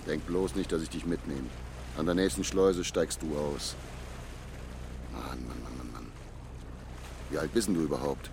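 Brief: blunt barge skipper, low voice, grumbling but caring, radio drama, loud engine noise. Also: male voice, normal speed, vocal fry, long pauses. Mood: gruff, exasperated. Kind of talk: radio play acting, colloquial.

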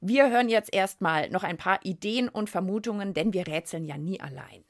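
A woman's voice: medium pitch, normal speed. A woman hosting a children's science podcast, explaining and asking questions in playful banter addressed to young listeners.

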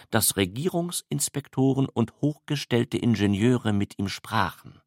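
A man giving a scripted literary documentary narration with deliberate, measured phrasing. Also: medium pitch, creaky, dry recording, clean studio sound. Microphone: close studio microphone, dry.

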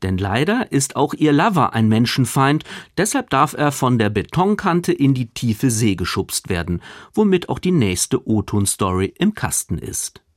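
A man's voice: medium pitch, sing-song, a little slow, dry recording, clean studio sound. A man reading a scripted radio book review as a literary critic, articulate, formal and emphatic.

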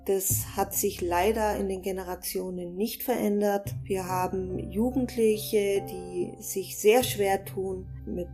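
Mellow-voiced woman giving earnest interview answers. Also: mid-range voice, fairly even intonation, slow pace, few pauses, soft background music. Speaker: interviewee, civil rights worker.

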